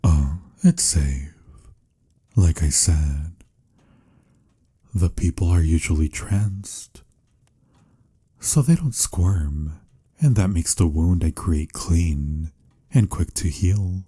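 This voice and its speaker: Man voicing a husky, very deep romantic vampire. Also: animated, slow, long pauses, mellow, full character voice. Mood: gentle, explaining. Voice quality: husky, breathy, slipping into whispers. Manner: intimate, soothing, slightly formal endearments. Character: tender, teasing, lovelorn male vampire. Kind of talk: romantic ASMR audio roleplay.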